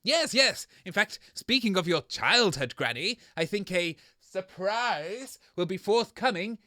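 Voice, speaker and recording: Man as a high, cocky comedy radio host. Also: animated, a little slow, bright, forceful tone, no room sound, exaggerated voice. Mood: showy. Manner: blustering, dismissive. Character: cocky, grumpy, shameless little male radio host. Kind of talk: scripted comedy radio banter.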